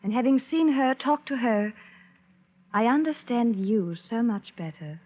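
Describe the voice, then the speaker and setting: An actress playing a resolute, self-sacrificing young sweetheart in an earnest, theatrical 1940s radio drama style. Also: female voice, on the high side, expressive, a little slow. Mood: firm, guarded. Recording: thin, tinny 1940s radio recording.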